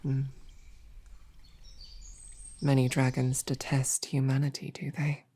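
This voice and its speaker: A woman voicing a devoted, protective female royal knight in a whispered audio roleplay, with soft, earnest questioning. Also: very deep voice, breathy edge, heavily acted. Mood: soft, searching.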